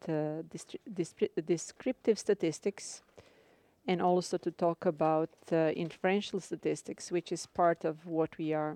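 Dark, smooth-voiced woman with a Croatian accent. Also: lowish voice, dry recording. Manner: careful, measured, frequent filler pauses. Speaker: instructor. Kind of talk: live radio lecture to students.

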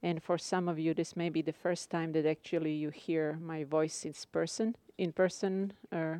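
A woman with a Croatian accent lectures her class over a live broadcast, speaking carefully and pausing often on fillers. Her voice is mellow, low and smooth. The studio sound is dry and close. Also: little pitch movement, no room sound. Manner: careful, measured, frequent filler pauses. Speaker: instructor. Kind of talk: live radio lecture to students.

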